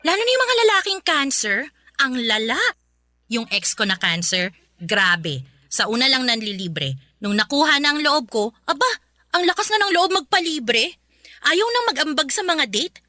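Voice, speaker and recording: A woman's voice: high voice, big pitch swings, normal speed, bright, forceful tone, no echo, studio quality, heavily acted. A woman playing a sassy, teasing young best friend in a Filipino radio drama, with brash, slangy banter. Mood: dramatic griping.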